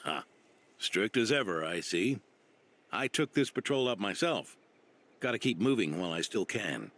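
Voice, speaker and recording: A man voicing an old veteran knight in a video game, gruff and dutiful, with a gravelly, weighty way of telling things. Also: on the low side, lively intonation, normal speed, a bit bright. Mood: gruff, wry. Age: older. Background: game music.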